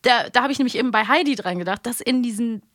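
A young woman chats casually and with enthusiasm as a co-host. Her voice is high and bright, recorded close and dry. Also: fast talker, no room sound. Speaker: podcast co-host. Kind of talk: casual chat.

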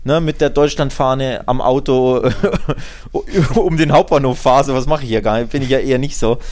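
A man's voice: mid-range voice, moderate pace, a little mellow. A man co-hosting a football podcast, chatting casually in an opinionated, emphatic and colloquial way, building his points through repetition.

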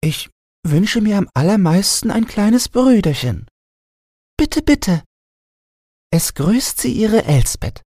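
A man's voice: sits high, sing-song, slow pace, long pauses, a little mellow, no room sound, studio quality, voice pushed up, exaggerated voice. A man voicing an eager, insistent little girl in a Christmas storybook, with a childlike, pleading, emphatic manner. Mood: polite, earnest.

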